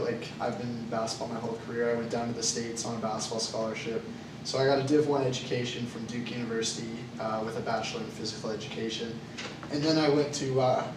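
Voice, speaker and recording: A young Canadian man playing a job candidate in a class role-play interview, answering with many hesitations. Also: medium pitch. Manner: hesitant, with frequent uh and um fillers. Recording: echoey room, far from the mic, noisy.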